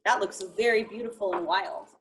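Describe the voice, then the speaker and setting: A woman reading a picture book aloud to young children in an expressive, encouraging way, talking directly to them. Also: medium pitch, fairly quick, vocal fry, slight background noise, low-quality recording.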